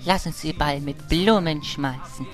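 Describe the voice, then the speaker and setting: A man's voice: on the high side, normal speed, faint music behind, lightly acted. A man playing a dreamy, gushing adventurer in a fantasy parody audio drama.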